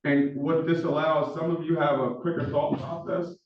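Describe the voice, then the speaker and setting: Instructor, male, Philadelphia accent, quick and full of fillers, a little higher voice, picked up from across an echoey classroom. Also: recorded from a distance, slight background noise. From Philadelphia, USA. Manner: talks fast with many fillers, says he is monotone. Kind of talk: classroom lecture. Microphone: far room microphone. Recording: echoey classroom recorded from afar, dull sound.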